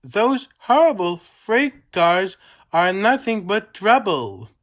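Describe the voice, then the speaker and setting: An aggrieved male steam engine from a storybook, put on by a narrator. He groans his complaints slowly, as if nobody has suffered more. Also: medium pitch, big pitch swings, voice pushed up. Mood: aggrieved, groaning.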